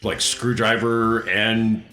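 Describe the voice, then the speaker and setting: A man's voice: lowish voice, little pitch movement, slow pace, bright, forceful tone, echoey room, faint music behind. A man chatting casually as a player on a tabletop role-playing podcast. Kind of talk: casual group chat.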